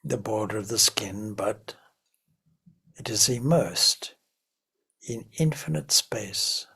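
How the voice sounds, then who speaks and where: A man leading a guided meditation as a teacher, giving gentle, measured instructions with long pauses between phrases. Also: fairly low pitch, expressive, creaky, breathy edge.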